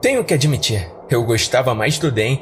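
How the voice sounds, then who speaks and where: A man's voice: medium pitch, lively intonation, normal speed. A Brazilian man giving dramatic first-person narration of a horror story as a podcast narrator. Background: music.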